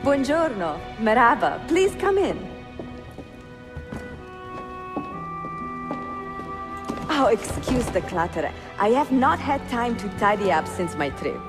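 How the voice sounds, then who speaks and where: An actress playing a cultured, curious young bookseller in a game cutscene, speaking expressively with an Italian accent. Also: female voice, fairly high pitch, big pitch swings, moderate pace. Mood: welcoming. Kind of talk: dramatic video game cutscene acting. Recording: clear music behind the voice.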